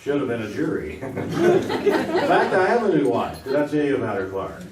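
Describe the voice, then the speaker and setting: A man's voice: fairly high pitch, wildly swooping pitch, normal speed, a loud crowd. A man hosting an old-time radio style quiz show, joking and wisecracking with contestants. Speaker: game show host. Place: live stage before an audience. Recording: roomy, distant, noisy stage recording.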